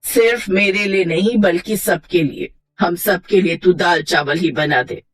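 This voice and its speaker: Lady doing strict saas in a kids story, sarcastic, exasperated, lower voice, naggy. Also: unhurried. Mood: irritated. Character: stern, scolding mother-in-law, middle-aged or older woman. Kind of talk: acted moral story for children.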